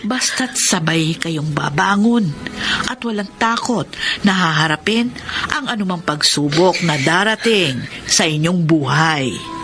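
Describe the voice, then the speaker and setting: A middle-aged woman acting a gentle mother soothing and advising her daughter in a radio drama. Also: on the low side, lively intonation, a little slow. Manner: soothing, earnest counsel.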